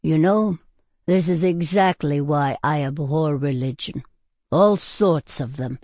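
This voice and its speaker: A man's voice: a little high, slow, darkish tone, no room sound, clean studio sound. A man voicing a cheeky, tipsy drunkard who is indignant and argumentative, in an audiobook style. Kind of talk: audiobook character acting.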